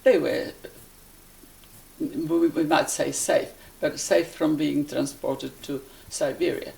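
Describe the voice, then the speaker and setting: An elderly woman from Poland with a Polish accent, recalling her life in a halting, deliberate way as she is interviewed. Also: low voice, creaky, dark tone, rough recording. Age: 80s or older. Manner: halting, deliberate, searching for words. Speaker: interviewee, life-story witness. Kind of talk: personal reminiscence in an interview.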